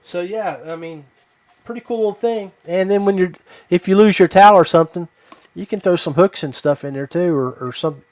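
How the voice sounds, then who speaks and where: Man, slightly high voice, podcast host, casual halting chat, dry close sound. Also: a little mellow, no room sound.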